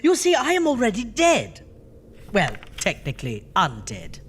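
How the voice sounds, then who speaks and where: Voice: mid-range voice, huge pitch swings, measured pace, a bit bright, husky, faint music behind, full character voice. A performer playing a flamboyant undead doctor who hosts a campy horror radio show with theatrical, mock-sweet delivery. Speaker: host.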